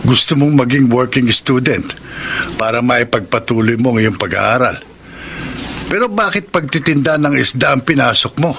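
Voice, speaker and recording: Forceful stern Filipino father. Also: medium pitch, lively intonation, moderate pace, gravelly, slight background noise. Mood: chiding, fatherly. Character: stern watchful provincial father, middle-aged. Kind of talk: Filipino radio drama acting.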